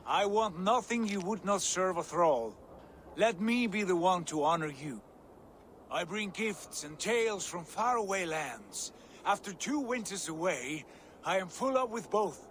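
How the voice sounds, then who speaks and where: An actor playing a hearty, confident Viking prince home from voyaging in a video game, speaking grandly. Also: male voice, high-pitched, animated, unhurried, a bit bright, slight background noise. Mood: earnest. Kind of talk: dramatic video game acting.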